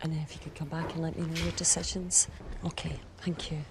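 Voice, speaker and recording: A woman's voice: sits low, level tone, quick pace, noisy background. A woman acting as an earnest, businesslike senior scientist in a drama, composed and persuasive. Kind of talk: naturalistic screen acting. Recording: clear background music under dialogue.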